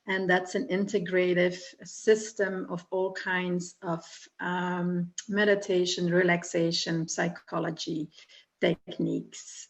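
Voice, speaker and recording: Slow, gravelly-voiced woman. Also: on the low side, a bit bright. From Belgium. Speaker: stress coach. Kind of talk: self-introduction. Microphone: video call.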